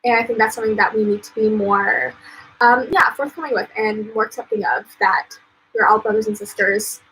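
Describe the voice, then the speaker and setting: A young American woman, an author, chatting casually on a live stream, full of "um"s and "like"s and quick laughs. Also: medium pitch, fairly even intonation, fairly bright tone, soft background music, low-quality recording. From United States. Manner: chatty, lots of "um" and "like", laughs often. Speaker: author and vlogger.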